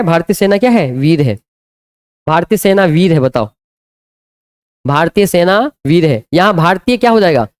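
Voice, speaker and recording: Male teacher, high clear voice, live-stream grammar class, repeats every question and answer. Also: a little mellow. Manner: drills students with repeated call-and-answer questions. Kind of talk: live online grammar lesson.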